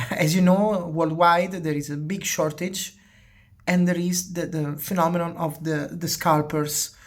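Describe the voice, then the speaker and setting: Italian woman chatting to her channel in english, low voice, slow, keeps repeating words and restarting. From Italy. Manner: hesitant, often repeating words and restarting phrases. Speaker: channel host. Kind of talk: casual spoken commentary.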